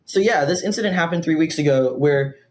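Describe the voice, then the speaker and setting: A man's voice: fairly high pitch, a little fast, a little mellow. Rambling, swearing man organizer. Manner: rambling, heavy use of "like", swears freely. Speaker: community organizer guest. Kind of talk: casual podcast interview.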